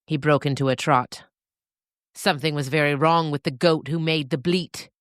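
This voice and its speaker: A woman reading a scripted story as narrator, with dramatic, suspenseful and deliberate phrasing. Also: sits low, fairly even intonation, no echo, clean studio sound.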